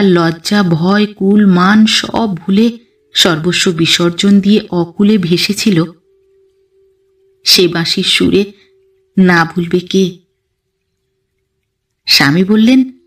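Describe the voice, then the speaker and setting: Slow-paced woman narrating, slightly distant. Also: on the low side. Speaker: audio story narrator. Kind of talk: literary first-person story narration.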